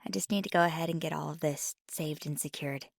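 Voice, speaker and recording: A woman playing a nervous, earnest paranormal investigator in an ASMR roleplay, speaking softly in a hesitant, stammering, rambling way. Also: low voice, fairly even intonation, quick pace, vocal fry, no echo, clean studio sound. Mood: nervous, hopeful. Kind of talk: ASMR roleplay, intimate one-sided dialogue.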